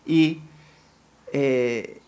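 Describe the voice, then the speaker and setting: A man from Mexico with a Mexican Spanish accent, preaching a lively sermon and asking the congregation questions. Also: mid-range voice, drawn-out words, smooth. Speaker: pastor.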